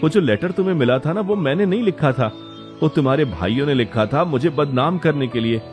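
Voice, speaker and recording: A man's voice: fairly high pitch, expressive, fairly quick, a little mellow, faint music behind, voice pushed up, close to an everyday voice. A man voicing an earnest young man in love, pleading emphatically in cartoon story dialogue.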